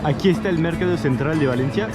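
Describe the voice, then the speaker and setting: Higher-voiced man vlogging casually outdoors. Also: quick pace. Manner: informal, Mexican-style slang. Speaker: travel vlogger. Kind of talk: casual travel vlog narration to camera. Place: outdoors in city streets and squares. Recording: outdoor street recording with background music. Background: music, urban street sounds.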